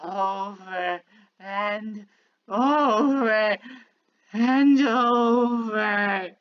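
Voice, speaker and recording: A woman's voice: medium pitch, extremely slow, dull audio. A woman voicing a small, menacing talking flower from a game, theatrical and mocking, swinging between cutesy and cruel. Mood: maniacal triumph. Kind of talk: dramatic villain monologue from a game.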